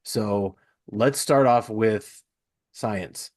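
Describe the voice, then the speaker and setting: A man with a fairly low voice hosts a casual interview podcast. He talks in an informal, chatty way, and the sound is dry and close, with little room in it. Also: extremely slow, darkish tone, dry recording. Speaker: podcast host.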